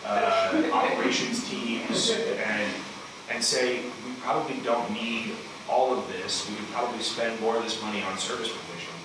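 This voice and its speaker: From across an echoey, noisy room comes a man's fairly low voice. He is in his thirties and speaks as a panelist at a public discussion. His delivery is measured and halting. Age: 30s. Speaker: panelist from a city health department. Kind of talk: answering audience questions at a panel discussion. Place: conference room panel table, indoors. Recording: very echoey room, distant mic, noisy ambience.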